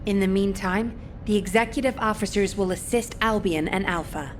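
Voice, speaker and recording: An actress playing a composed, businesslike operations officer in a video game, giving orders in a firm, formal, deliberate way. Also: female voice, medium pitch, a little hiss or hum, natural voice. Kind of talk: scripted video game dialogue.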